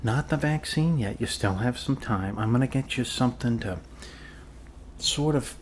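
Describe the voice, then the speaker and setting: A man doing an improvised ASMR role-play as a calm, quirky doctor, speaking haltingly and reassuringly to a patient. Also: medium pitch, a little hiss or hum. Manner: halting, reassuring, trailing off with fillers and pauses. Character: calm, quirky male doctor treating a zombie bite.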